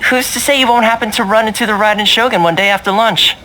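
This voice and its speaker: A man playing a suave, easygoing young fixer in a video game, talking in a smooth, wry, persuasive way. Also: high voice, fairly even intonation, quick pace, heavy background noise, lightly acted. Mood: teasing. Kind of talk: video game dialogue acting. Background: game music.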